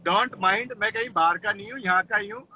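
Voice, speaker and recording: A man's voice: high voice, fairly quick, a crowd in the background. A young man calling into a live stream, chatting casually but bluntly and defiantly, with coarse language. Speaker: guest caller on a live stream. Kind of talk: casual argumentative chat. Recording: live call with faint noise.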